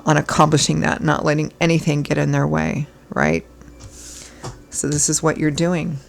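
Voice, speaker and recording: A hoarse woman giving a casual tarot reading as host, chatty and reassuring, her voice still froggy from illness. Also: deep voice. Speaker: tarot reader.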